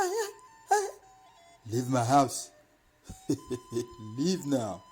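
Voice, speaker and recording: A woman with a Nigerian accent narrating a bedtime folktale as a storyteller, expressive and deliberate. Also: extremely low pitch, rough recording.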